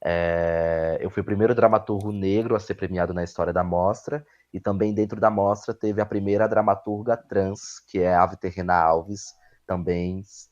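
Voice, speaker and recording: A Brazilian man with a fairly low voice talks as an interview guest. He speaks in a reflective, halting way, checking in with small tag questions. The audio is somewhat dull and lo-fi. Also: darkish tone. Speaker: guest playwright and actor. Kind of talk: conversational interview answers about own work.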